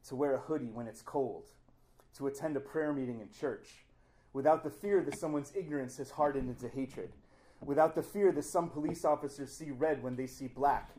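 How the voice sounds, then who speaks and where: A man reciting slam poetry with a deliberate, emphatic, rhetorical delivery. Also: medium pitch, a little mellow. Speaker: slam poet.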